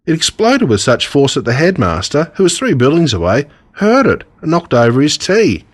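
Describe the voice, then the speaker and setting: An Australian man reading a scripted children's storybook in a dramatic, deliberate way, relishing the gruesome parts. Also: a little high, huge pitch swings. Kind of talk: scripted storybook narration told as a boy.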